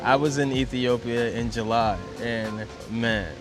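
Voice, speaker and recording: A man hosting an interview show, energetic and upbeat, swinging between loud hype and low, relaxed asides. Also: unhurried, music in the background. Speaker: show host.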